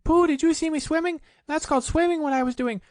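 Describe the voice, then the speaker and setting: A man voicing a tiny, excitable young boy kangaroo in a children's storybook, squeaky, proud and eager. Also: fast, no room sound, clean studio sound. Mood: eager, chattering. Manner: eager, boastful, exclaiming.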